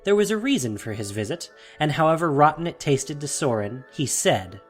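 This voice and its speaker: Expressive narrator with a lively, swooping delivery. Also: mid-range voice, normal speed. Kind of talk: dramatic scripted fantasy storytelling. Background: soft music.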